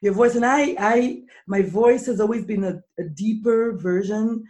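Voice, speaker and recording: A middle-aged woman hosting a podcast, with a deep, husky voice from swollen vocal cords, talking slowly and deliberately when she explains. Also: mellow. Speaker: podcast host. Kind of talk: casual podcast interview.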